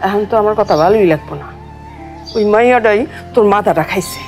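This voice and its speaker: A woman's voice: mid-range voice, fast talker, darkish tone, soft background music, natural voice. An actress playing a pious, nagging, aggrieved older mother with a colloquial Bangladeshi Bengali accent in a television drama. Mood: aggrieved, scolding. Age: middle-aged or older. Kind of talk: domestic TV drama acting.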